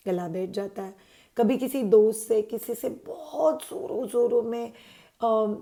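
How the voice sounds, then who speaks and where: A woman's voice: a little high, dark tone. A woman hosting a parenting channel, explaining baby care to parents in a chatty way, dropping English words into her talk and answering her own questions.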